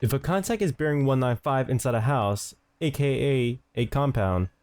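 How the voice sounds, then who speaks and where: A man's voice: mid-range voice, lively intonation, normal speed, a little mellow, a little hiss or hum. A man giving scripted instructional narration as the host of a tutorial, speaking close to the mic.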